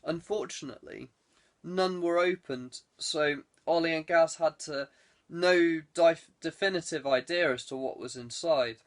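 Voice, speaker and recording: A man's voice: fairly high pitch, a little slow. A man reading a thriller aloud as a scripted audiobook narration.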